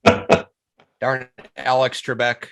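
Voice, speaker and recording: A man chatting casually as co-host on an online call, with a halting, hesitant delivery. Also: mid-range voice, completely flat delivery, fairly bright tone. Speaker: show co-host. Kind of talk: casual live-stream chat.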